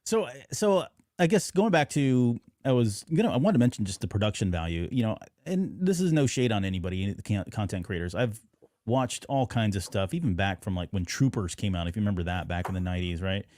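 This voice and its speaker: Lowish-voiced man hosting a casual podcast. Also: lively intonation, darkish tone, no echo. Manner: halting, agreeable, rambling. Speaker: podcast host.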